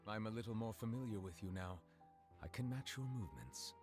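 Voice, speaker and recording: An actor playing a reserved, dignified male chief justice in a fantasy game, speaking in a formal, measured, gentlemanly manner. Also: lowish voice, expressive, faint music behind. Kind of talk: scripted game character voice lines.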